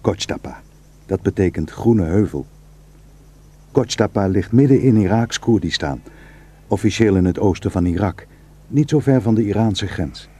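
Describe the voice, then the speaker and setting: An actor playing a simple Kurdish shepherd, narrating his memories reflectively with a husky voice in a radio drama. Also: lowish voice, expressive, normal speed. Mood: nostalgic, reflective. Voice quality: husky, dark.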